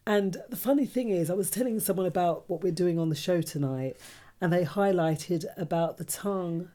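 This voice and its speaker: Mellow-voiced woman radio host. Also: fairly low pitch, normal speed. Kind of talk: casual radio chat.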